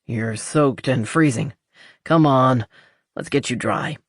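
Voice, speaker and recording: A narrator voicing a gruff, dryly amused man, the terse hero of a romance audiobook. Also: mid-range voice, slow, no echo. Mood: brusque. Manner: dry, terse. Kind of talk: audiobook character dialogue.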